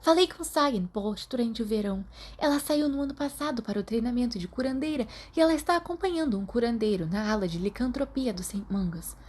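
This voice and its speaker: A woman's voice: a little high, lively intonation, brisk, hardly any pauses, darkish tone, voice pushed up. A woman with a Brazilian accent voicing a flippant, gossipy teenage girl who chatters on. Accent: Brazilian Portuguese.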